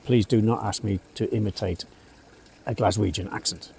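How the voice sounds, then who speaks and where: A man's voice: fairly low pitch, steady tone, moderate pace, gravelly, darkish tone, breathy edge. A middle-aged man from England's West Midlands with a British English accent, an English teacher giving a casual spoken lesson on a café terrace, chatty with frequent uhs and asides.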